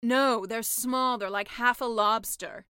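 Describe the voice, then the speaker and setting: A woman voicing a sly, menacing sea witch from a storybook, with a blunt, theatrical, drawn-out delivery. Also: fairly high pitch, fairly even intonation, bright, forceful tone, clear, resonant tone, dry recording, clean studio sound. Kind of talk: storybook character voice.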